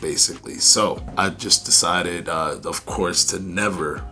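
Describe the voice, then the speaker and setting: A young man with a hoarse, raspy voice, rambling casually through a fitness vlog update with many fillers. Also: sits low, steady tone, unhurried, bright, forceful tone, soft background music. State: hoarse, losing voice. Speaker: fitness YouTuber.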